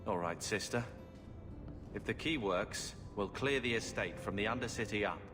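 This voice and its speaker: A man's voice: fairly low pitch, normal speed, lightly acted. An actor playing a blunt, resentful young man who grumbles in a video game cutscene. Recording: long hall reverb with music behind.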